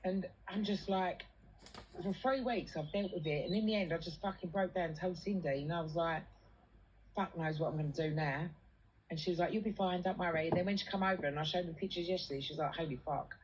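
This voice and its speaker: A woman's voice: fairly low pitch, quick pace, a little mellow, slight background noise, rough recording. A British woman chatting casually and venting in a blunt, sweary, colloquial way. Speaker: livestream host.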